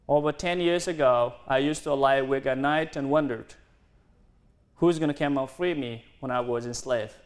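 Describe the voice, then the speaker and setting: A man in his twenties from South Sudan, a native Dinka speaker, giving an earnest university talk in halting, non-native English with restarts. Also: mid-range voice. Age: 20s. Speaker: guest speaker. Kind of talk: university lecture.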